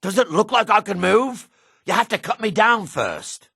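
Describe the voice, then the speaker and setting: Male dark elf, fantasy game character, husky, dry close recording, jaded and contemptuous. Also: sits high, animated, moderate pace, no echo, heavily acted. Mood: frantic, pleading. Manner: sardonic, disdainful. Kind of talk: video game character voice acting.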